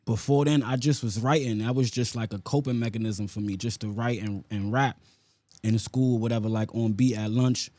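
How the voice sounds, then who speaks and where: A man's voice: medium pitch, fairly even intonation, normal speed, warm tone, dry recording. A man with a New York accent talking casually in an interview as a rapper, using street slang and frequent check-in tags. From South Bronx, New York. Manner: street slang with frequent "you know what I'm saying" tags.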